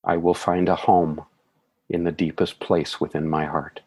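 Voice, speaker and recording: Deep, slow, husky male meditation guide. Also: flat delivery, darkish tone. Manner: slow, soothing, measured phrasing.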